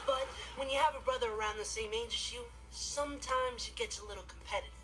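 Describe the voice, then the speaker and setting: A man's voice: extremely high pitch, fast, rough recording. A young man playing an eager, earnest, dorky teenage stepbrother in a scripted sitcom monologue to camera. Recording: music behind TV dialogue.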